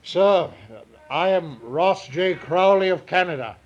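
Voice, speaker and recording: Pompous elderly gentleman con artist, slightly high pitch, flowery diction, easily flustered, dull old radio sound. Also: slow pace. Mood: indignant. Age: older. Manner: haughty, stammering, flowery formal diction. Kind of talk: 1940s radio drama acting. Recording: muffled vintage 1940s radio recording.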